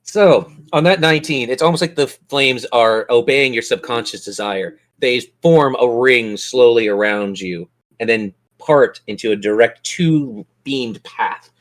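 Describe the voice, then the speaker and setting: A man narrating a tabletop role-playing game as game master, with theatrical, descriptive storytelling. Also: medium pitch.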